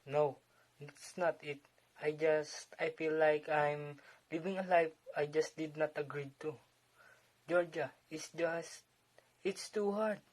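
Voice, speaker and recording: A man's voice: on the high side, steady tone, takes it slowly, rough recording. A man playing a weary, discontented husband in an amateur one-act play, frustrated and resigned. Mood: weary, apologetic.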